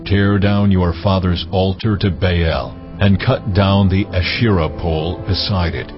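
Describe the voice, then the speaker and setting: An actor playing the solemn, commanding voice of God in a dramatized scripture recording, speaking deliberately and with authority. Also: male voice, sits low, fairly even intonation. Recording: muffled audio with music underneath.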